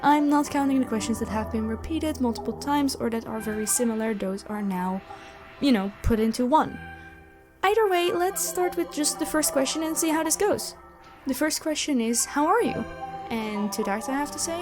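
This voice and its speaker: A young woman with a fairly high voice talks through viewer questions in a chatty way. Her delivery is expressive, stretching some words for emphasis. Music plays softly behind her. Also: normal speed. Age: young adult. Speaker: ASMR audio roleplay creator and voice actor answering questions. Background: background music.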